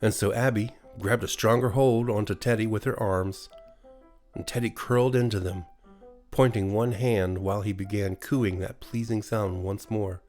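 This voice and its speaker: A man's voice: fairly low pitch, fairly even intonation, normal speed, a little mellow, soft background music. A man with an American accent narrating a scripted bedtime horror story as a storyteller. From USA.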